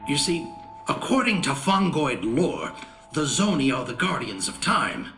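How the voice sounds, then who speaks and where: An actor playing a seasoned old alien general in a video game, a gruff, commanding mentor who instructs theatrically. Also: male voice, on the high side, sing-song, measured pace, pushed and bright, faint music behind, exaggerated voice. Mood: wry. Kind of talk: video game cutscene acting.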